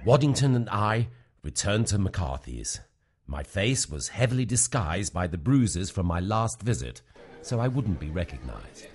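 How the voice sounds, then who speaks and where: An actor with an English accent playing a weary, exasperated male spy in a comic radio play, expressive in narration and dialogue. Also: sits low, measured pace, slightly rough, exaggerated voice. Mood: narrating, dramatic. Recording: music behind the voice.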